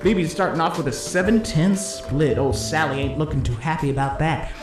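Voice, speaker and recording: A slick 1950s disc jockey, acted out by a man in a slightly high voice, calling bowling play-by-play over music in an echoing room. He is cheeky and theatrical, rolling out hepcat patter and stretching words for show. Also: natural voice. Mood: excited play-by-play. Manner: showy hepcat patter with drawn-out flourishes. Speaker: play-by-play announcer. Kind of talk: 1950s radio-style sports commentary. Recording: roomy echo, music underneath.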